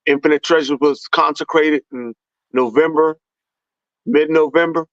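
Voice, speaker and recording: A man's voice: fairly high pitch, steady tone, unhurried, a bit bright, no echo, muffled recording. A man talking with a fervent, preaching cadence in an impassioned conversation.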